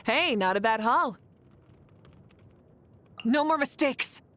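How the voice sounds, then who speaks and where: A young woman voicing a confident, warm warrior leader of fire in a video game, speaking in a poised, earnest, assured way, with music behind her. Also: on the high side, expressive, takes it slowly. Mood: brisk, determined. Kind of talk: video game character voice lines.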